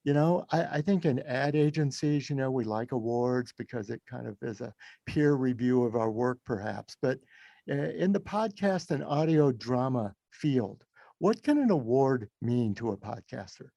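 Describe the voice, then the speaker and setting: Mellow American man hosting, dry sound. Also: mid-range voice, animated, normal speed, gravelly, no echo. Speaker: podcast host. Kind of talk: relaxed conversational interviewing.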